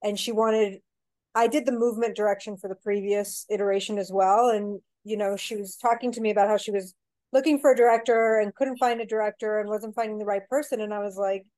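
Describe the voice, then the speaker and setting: A woman's voice: mid-range voice, fairly even intonation, brisk, darkish tone, dry recording. Chatty Mexican-born woman director. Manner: chatty, rambling with many "you know" fillers. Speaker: theater director, guest. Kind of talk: casual interview chat.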